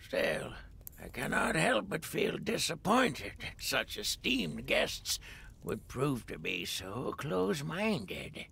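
Slow, gravelly old mystic. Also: male voice, medium pitch, wildly swooping pitch, sharp tone, full character voice. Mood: wry disappointment. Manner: slow, theatrical, archaic wording, drawn-out phrases. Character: sly, mysterious old male mystic cult leader. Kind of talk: fantasy video game voice acting. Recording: music behind the voice.

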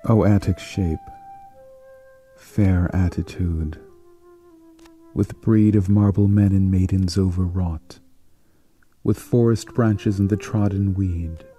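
A man giving a dramatic poetry recitation in a solemn, deliberate manner, pausing between short phrases. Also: low-pitched, fairly even intonation, mellow. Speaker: poetry reader. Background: flute music.

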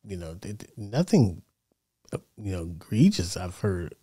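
Male American sports host, middle-aged, lowish relaxed voice, measured and conversational delivery. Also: slightly rough, no echo. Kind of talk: casual sports commentary. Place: small home studio, indoors. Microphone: close broadcast mic on boom arm.

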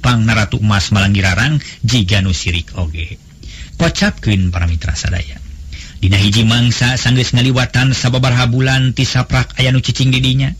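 Husky Sundanese man telling a folk tale. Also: mid-range voice, fairly even intonation. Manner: melodic, unhurried storytelling cadence. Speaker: storyteller. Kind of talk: traditional folk tale narration. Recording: faint background music.

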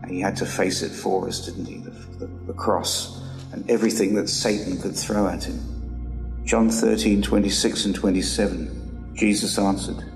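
A man preaching in a very low voice with a gravelly edge, earnest and fond of tag questions. His words ring out in a long hall reverb, with music clearly playing underneath. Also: steady tone, moderate pace. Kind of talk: sermon with scripture reading. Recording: echoey hall with music behind.

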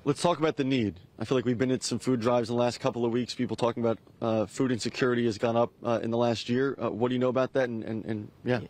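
Brisk young male TV field reporter. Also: medium pitch, steady tone, darkish tone. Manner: brisk, upbeat reporter delivery. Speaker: TV news reporter. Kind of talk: live field report and packaged voice-over. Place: outdoors at a church parking lot. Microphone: handheld station mic.

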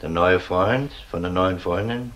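An actor playing a sober, persistent police detective in a radio crime drama, questioning deliberately. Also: male voice, sits low, fairly bright tone, a little hiss or hum. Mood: brooding. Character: sober, persistent male police detective investigating a missing person.

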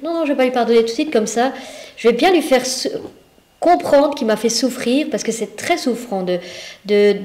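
A woman in her thirties with a Belgian French accent, talking frankly and quickly in casual discussion. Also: a little high, a little mellow. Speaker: co-host. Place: indoor studio set, echoey room. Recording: roomy echo.

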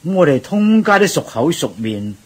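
An actor playing an eager, agreeable travelling monk in a Cantonese radio drama, chatty and exclamatory, with a husky voice. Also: male voice, a little high, sing-song, normal speed. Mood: cheerful, observant. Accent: Cantonese, Hong Kong.

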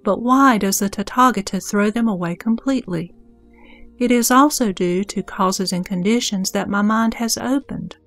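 A woman voices an earnest disciple putting reverent questions to a master. The voice is mid-pitched for a woman and recorded dry, in a scripted scripture drama over music. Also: moderate pace, a bit bright, no room sound, lightly acted. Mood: earnest questioning.

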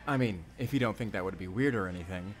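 A man's voice: on the low side, quick pace, soft background music, close to an everyday voice. A man playing a friendly, playful young father in an intimate audio roleplay, chatting with bashful chuckles. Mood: cheerful, playful. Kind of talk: intimate audio roleplay acting, addressing the listener.

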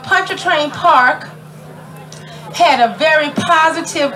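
A woman's voice: high-pitched, pushed and bright, rough recording. A middle-aged woman emceeing an outdoor community ceremony, chatty and folksy, laughing often and calling out to the crowd. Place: outdoor tent at a park gathering.

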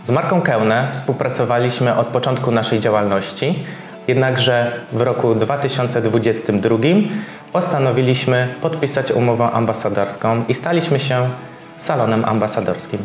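Man, 30s, salon owner, measured testimonial read, middle pitch, roomy room, faint music bed. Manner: measured, slightly formal with filler pauses. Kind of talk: sponsored testimonial interview. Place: bright hair salon, indoors.

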